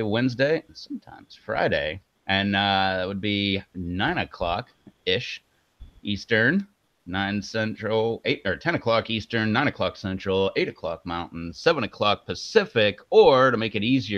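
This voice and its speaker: A man's voice: lowish voice, a bit bright, no room sound, dull audio. A man co-hosting a casual live stream who talks in a chatty way, laughs often and promotes the channel.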